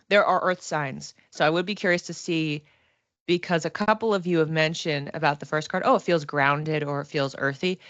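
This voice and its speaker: Low-pitched woman thinking aloud. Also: a bit bright, no room sound, highs cut off. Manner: conversational, thinking aloud in halting phrases. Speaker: psychic reader and class teacher. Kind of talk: casual livestream psychic reading, speaking to viewers.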